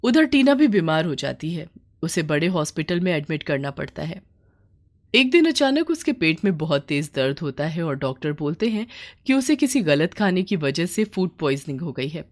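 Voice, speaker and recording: A woman's voice: low voice, very animated, moderate pace. A woman storyteller reading a scripted moral bedtime story.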